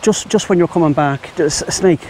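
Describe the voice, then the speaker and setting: A man's voice: fairly high pitch, moderate pace, darkish tone, slight background noise. Chatty Irish man vlogging outdoors. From Ireland. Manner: rambling, chatty, addresses viewers as "my friends". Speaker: travel vlogger. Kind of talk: casual travel vlog to camera. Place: outdoors in Thai countryside. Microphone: lavalier mic.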